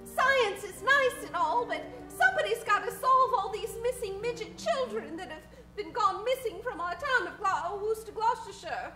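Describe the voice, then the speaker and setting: On an echoey stage with faint music, a woman plays an indignant townswoman in a radio farce. The voice is extremely high and piercingly bright. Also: animated, normal speed, few pauses, heavily acted. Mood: insistent. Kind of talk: comic old-time radio play acting.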